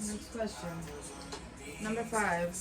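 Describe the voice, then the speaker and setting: A woman's voice: medium pitch, fairly even intonation, takes it slowly. A woman briefly reading out questions for a Q&A in a plain way. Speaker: question reader. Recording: distant voice with music.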